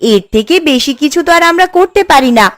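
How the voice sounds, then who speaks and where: A woman's voice: sits high, expressive, fast. A woman voicing a modest, earnest village sister-in-law in a cartoon. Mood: earnest, resigned. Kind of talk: Bengali village cartoon dialogue. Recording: music under the voice.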